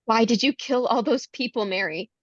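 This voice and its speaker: A woman's voice: a little high, moderate pace, lo-fi sound, natural voice. A woman playing a desperate, vengeful young beauty queen in a horror script table read, speaking with urgency. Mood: vengeful, angry.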